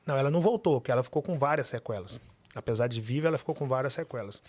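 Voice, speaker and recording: A man, a podcast guest telling his life story with animated, emphatic repetition. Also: mid-range voice, very fast, no echo. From Minas Gerais, Brazil.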